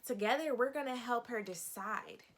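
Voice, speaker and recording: A woman's voice: on the high side, moderate pace, a little hiss or hum. A woman reading a picture book aloud like a teacher, guiding young children and putting questions to them. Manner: instructive, addressing young children.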